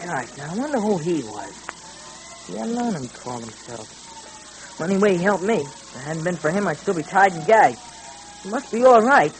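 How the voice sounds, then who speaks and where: An actor playing an earnest, excitable teenage boy sidekick in 1940s radio drama style. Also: medium pitch, normal speed. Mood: excited. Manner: earnest, eager, exclamatory. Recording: faintly noisy old radio recording.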